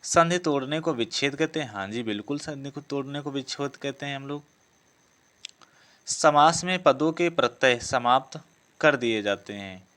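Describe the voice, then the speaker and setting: A man's voice: medium pitch, expressive, normal speed, a bit bright. A man explaining practice exam questions in a lesson. He reads each option aloud, confirms it, and corrects himself as he goes. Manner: self-correcting, repetitive phrasing. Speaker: exam coaching teacher.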